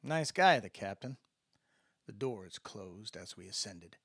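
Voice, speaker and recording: Animated, gravelly male audiobook reader. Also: on the low side, slow, no room sound, clean studio sound. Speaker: author and reader. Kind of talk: dramatic scripted audiobook narration with spoken introduction.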